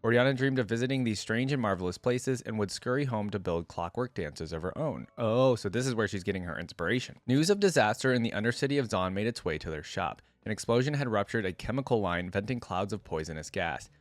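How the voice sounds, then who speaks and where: Low-voiced male reaction host, close dry sound. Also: lively intonation, fast talker, few pauses, dry recording. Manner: thinks aloud, reacting with interjections. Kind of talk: casual reaction commentary mixed with reading lore aloud.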